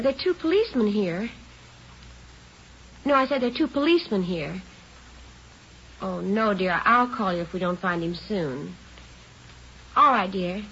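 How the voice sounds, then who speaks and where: A woman's voice: on the high side, fairly bright tone. An actress playing a worried but composed mother in her forties in an old radio drama, on a dull, hissy recording. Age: 40s. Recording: dull vintage radio recording with faint hiss.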